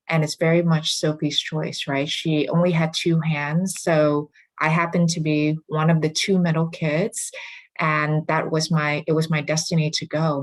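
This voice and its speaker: Low-voiced woman telling her story. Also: low-quality recording. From Vietnam. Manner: reflective, earnest storytelling. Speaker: guest. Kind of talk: casual interview. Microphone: remote call.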